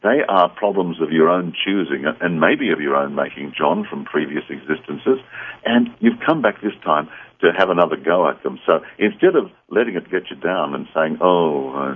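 A man with an Australian accent and a husky voice, speaking earnestly as a guest on a radio talk show. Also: rumbling low. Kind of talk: earnest interview conversation. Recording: dull phone-like radio line.

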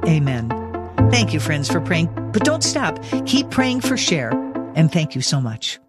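A woman radio host reads a prayer and appeal with earnest feeling. Her pitch moves in lively rises and falls. A loud music bed plays under her voice. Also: unhurried.

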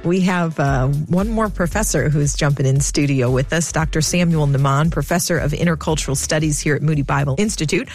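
A woman hosting a radio show, introducing and questioning a guest in an upbeat, quick way. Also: low voice, darkish tone, faint music behind. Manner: upbeat and quick, with affirming interjections. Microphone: studio mic.

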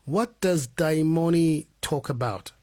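A man hosting a radio interview, easygoing and encouraging, with soft "mm" backchannels. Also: fairly high pitch, slow pace. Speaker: radio host.

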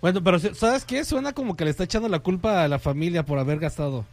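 A man co-hosting a radio show as the wisecracking sidekick, with a voice somewhat higher than most men's. He fires back quick jokes during the banter. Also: soft background music. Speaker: radio co-host.

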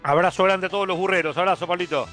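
A man with a Rioplatense accent hosting a live radio sports show, handing off to reporters with quick, upbeat lines. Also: high voice, steady tone, sharp tone, faint music behind. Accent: Rioplatense Spanish.

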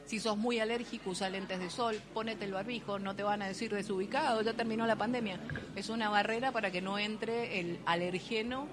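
A woman with an Argentine accent, a doctor giving health advice on a radio show in a lively, explanatory way. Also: medium pitch, brisk, noisy background. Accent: Argentine Spanish. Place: radio studio.

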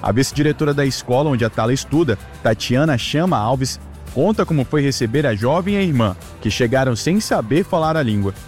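A man's voice: medium pitch, animated, a little mellow, soft background music. Male reporter narrating a news piece. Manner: measured narration. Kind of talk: scripted voice-over narration.

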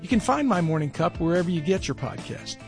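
A man's voice: fairly high pitch, very animated, fast talker, vocal fry, over music. A man hosting a podcast, friendly and conversational as he introduces and interviews a guest. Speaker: podcast host.

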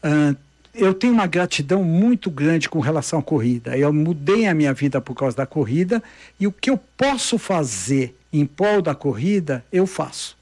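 An older Brazilian man, a marathon runner, telling animated anecdotes in a casual chat, often restarting his sentences. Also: a little high, moderate pace, no echo. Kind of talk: casual storytelling.

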